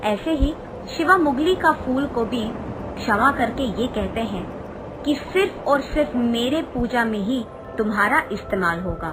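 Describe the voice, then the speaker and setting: High-voiced woman narrating a devotional story. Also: expressive, a little slow, background noise. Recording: music behind the narration. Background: background music.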